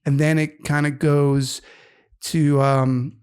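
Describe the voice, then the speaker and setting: A man's voice: fairly high pitch, flat delivery, slow, a little mellow, clean tone. A man in his fifties co-hosting a casual podcast, rambling enthusiastically about music. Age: 50s. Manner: rambling, enthusiastic music talk with many fillers. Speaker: co-host.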